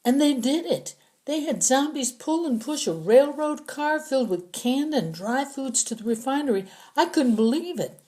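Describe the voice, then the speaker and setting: Narrator putting on a high-pitched, frightened male prisoner. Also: animated, moderate pace, few pauses, darkish tone. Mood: anxious explaining. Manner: groggy, pleading, explaining himself anxiously. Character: captured male scavenger, dazed, frightened and pleading. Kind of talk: audiobook character acting.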